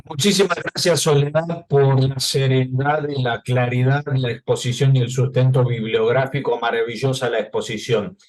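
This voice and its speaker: A man speaking as a non-native, asking a question at a conference in a polite, halting way with restarts. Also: medium pitch, steady tone, a little mellow, rough recording. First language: not Spanish. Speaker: audience member asking a question.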